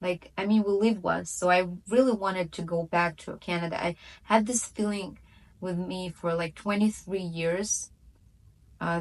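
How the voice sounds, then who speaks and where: A woman's voice: lowish voice, fairly bright tone, low-quality recording. Chatty woman. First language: Russian. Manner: chatty, many fillers and self-corrections, light laughs. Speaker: vlogger. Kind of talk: casual personal storytelling to camera.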